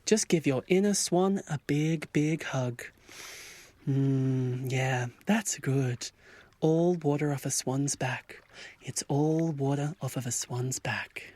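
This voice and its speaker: An actor playing a grown-up ugly duckling, a polite swan who speaks with measured restraint and soothes himself, in an audio drama. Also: male voice, medium pitch, darkish tone, dry recording, lightly acted. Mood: self-soothing. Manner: measured, restrained, self-coaching. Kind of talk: audio fiction acting.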